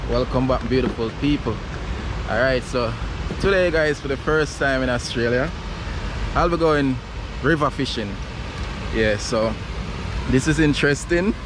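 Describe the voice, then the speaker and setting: A young Jamaican man with a Jamaican accent, hosting a casual vlog by a river, relaxed and quick to laugh, dropping slang. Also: medium pitch. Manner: laid-back, laughs often, Jamaican slang. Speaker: vlogger host. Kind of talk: casual outdoor vlog chat. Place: outdoors by a river. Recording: outdoor noise behind.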